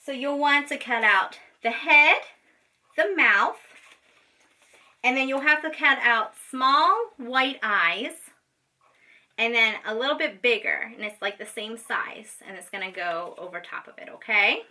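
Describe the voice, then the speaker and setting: Bright-voiced woman teaching a children's craft. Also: on the high side, expressive, measured pace. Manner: informal, patient, often checking in with "okay?". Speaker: special education teacher. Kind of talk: step-by-step craft lesson for children. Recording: distant microphone.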